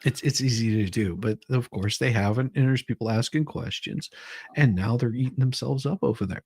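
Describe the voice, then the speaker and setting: A man's voice: mid-range voice, a little fast, a little mellow, slightly rough, dry recording, clean studio sound. A man hosting an online show in casual chat, rambling and often stammering over repeated words.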